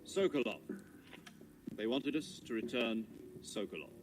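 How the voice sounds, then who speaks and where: An actor playing a composed intelligence commander in a video game, giving a grave, measured and formal briefing. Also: male voice, mid-range voice, animated, background noise, lightly acted. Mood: somber. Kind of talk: dramatic scripted mission briefing in a video game cutscene. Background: music.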